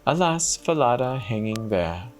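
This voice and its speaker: Fairy-tale goose girl princess, performed by a male narrator: young, gentle, lifted pitch, incantatory rhythm, slow. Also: very animated, faint music behind. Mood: sorrowful.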